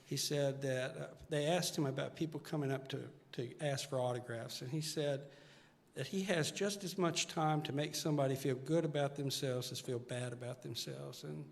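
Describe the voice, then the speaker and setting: A man's voice: medium pitch, steady tone, normal speed, gravelly. Reflective older man in a reverberant hall. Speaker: singer-songwriter guest. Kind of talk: casual onstage interview chat. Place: stage of a live music show. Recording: reverberant hall, music behind.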